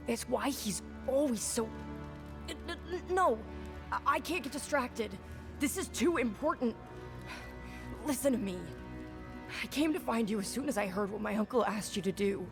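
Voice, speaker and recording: Woman acting an anxious, creaky-voiced youngster. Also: a little high, normal speed, natural voice. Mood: anxious, pleading. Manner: stammering, urgent, earnest. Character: anxious young relative, fretful, pleading. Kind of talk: fantasy video game acting. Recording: loud music behind the voice.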